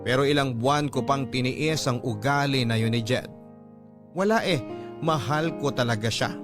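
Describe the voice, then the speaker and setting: A man's voice: mid-range voice, normal speed, natural voice. A man with a Filipino accent narrating a young woman's love story in the first person, as a confiding radio drama host. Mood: regretful, confiding. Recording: prominent music bed.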